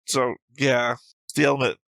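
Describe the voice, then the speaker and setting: A man's voice: medium pitch, little pitch movement, normal speed, gravelly, a bit bright, no room sound, clean studio sound. A man giving casual, analytical reaction commentary as a show host, picking at details. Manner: analytical, nitpicking.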